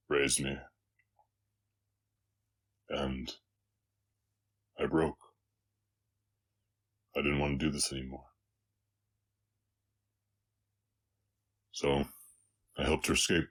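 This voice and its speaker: A man voicing a brooding, remorseful orc cowboy outlaw with a slight Southern US accent, speaking haltingly and somberly in an intimate confessional roleplay. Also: a bass voice, fairly bright tone, heavily acted. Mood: pained, remorseful. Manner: halting, somber, long pauses. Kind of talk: intimate scripted roleplay audio, confessional storytelling.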